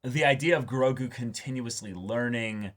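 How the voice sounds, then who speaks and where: A man's voice: medium pitch, normal speed. A man co-hosting a reaction show, casually discussing a TV episode in an enthusiastic, emphatic way.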